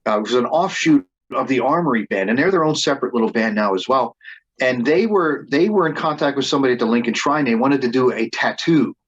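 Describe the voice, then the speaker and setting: A man's voice: mid-range voice, animated, quick pace, breathy edge, lo-fi sound. A man who leads a band, telling stories in a casual interview and often restarting and repeating words as he goes. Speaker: band leader and reenactor being interviewed.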